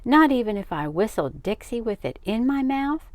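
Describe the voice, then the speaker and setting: An eager little show-off of a boy, about ten, from a children's storybook, as a woman reader puts him on. The voice is lifted in pitch and cheeky, always pestering. The recording is dry. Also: very animated, moderate pace, no echo. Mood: eager, cheerful.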